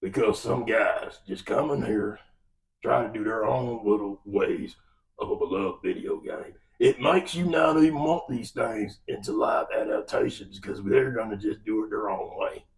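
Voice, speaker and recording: A man's voice: fairly low pitch, moderate pace, vocal fry, distant mic, poor audio. An American man giving an opinionated, exasperated film review rant in a folksy, emphatic way. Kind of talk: opinionated movie rant to camera.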